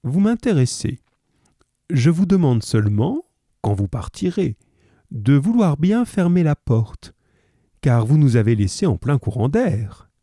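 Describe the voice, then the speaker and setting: A man voicing a calm, ironic detective in a read-aloud story, smooth and mocking. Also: a little high, a little slow, no echo, clean studio sound, pitched above the performer's own voice. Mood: amused.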